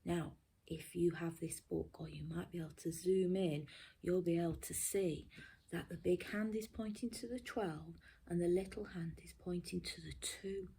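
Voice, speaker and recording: A woman teacher reading a children's story aloud expressively, often dropping to a hushed near-whisper and asking her young listeners questions. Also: on the low side, fairly even intonation, moderate pace, creaky, a little hiss or hum, poor audio.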